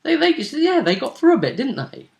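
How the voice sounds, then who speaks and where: A man's voice: extremely high pitch, sing-song, brisk, vocal fry, darkish tone. A man, a game master, casually recapping a tabletop role-playing match in a rambling, storytelling way. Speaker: game master and show host.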